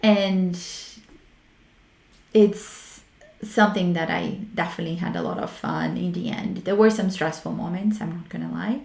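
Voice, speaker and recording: A woman's voice: lowish voice, expressive, moderate pace, gravelly, a little mellow. A woman from Brazil with a Brazilian Portuguese accent hosting a solo talk on research methods, frank and reflective, often stopping to restart her words. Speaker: host. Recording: roomy echo.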